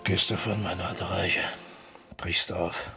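A man's voice: sits low, flat delivery, a little slow, vocal fry, over music. An actor playing a brooding, lyrical man in a German period radio drama, theatrical and poetic in his delivery. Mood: mournful, rapt.

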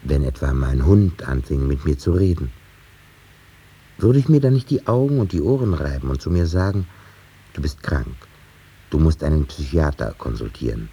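An actor playing a reflective, sceptical storyteller, narrating a 1950s German radio drama in a measured, musing way. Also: male voice, very deep voice, lively intonation, warm tone, husky, full character voice. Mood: pensive, sceptical.

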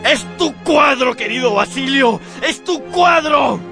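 A man's voice: very high-pitched, animated, measured pace, gravelly, pushed and bright, heavily acted. An actor playing a corrupt, vain man of youthful looks in his late thirties, theatrical and taunting, in a radio drama. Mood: furious triumph. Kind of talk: Spanish-language radio drama acting. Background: music.

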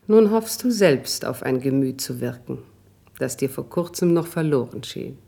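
Low, husky, mellow court lady. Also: lively intonation, normal speed, exaggerated voice. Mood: calm, doubting. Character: refined court lady, tactful, protective, quietly shrewd. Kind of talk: classical German verse radio drama acting.

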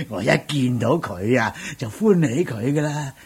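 A doting older husband in a Hong Kong Cantonese radio drama. His voice is very husky and swings up and down as he fusses and coaxes, in an unhurried way. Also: medium pitch, animated, a little mellow, heavily acted. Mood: coaxing. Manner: chuckling, coaxing, drawn-out particles and repeated interjections. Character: doting, coaxing older husband, flustered and fussy.

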